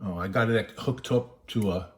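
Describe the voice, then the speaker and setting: A man's voice: lowish voice, moderate pace. A man giving a casual, unscripted gear demonstration as a hobbyist, blunt and opinionated in manner. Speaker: radio hobbyist demonstrating equipment.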